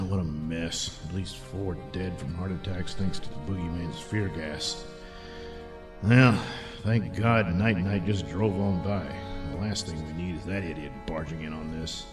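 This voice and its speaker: A man voicing a weary, exasperated police commissioner in a comedic audio play, gruff and fed up. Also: low-pitched, a little slow, over music, voice pushed down.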